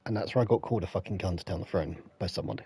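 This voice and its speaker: An English man with a croaky voice, feeling unwell, rambling through stories while greeting his live stream chat on a headset. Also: sits low, rapid-fire, mellow, no echo. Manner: rambling, anecdotal, stops to greet viewers. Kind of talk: casual storytelling to live chat.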